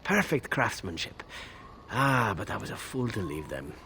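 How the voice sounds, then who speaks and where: An actor playing a smooth-talking, flirtatious assassin with flowery, courtly phrasing in a video game. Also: male voice, on the low side, sing-song, normal speed, pushed and bright, slight background noise, full character voice. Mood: wistful, musing. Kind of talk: video game dialogue acting.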